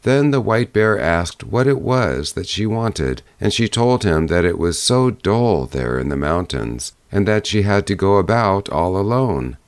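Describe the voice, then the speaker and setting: A man narrating a fairy-tale audiobook in a measured storytelling manner. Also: low-pitched, smooth, no room sound.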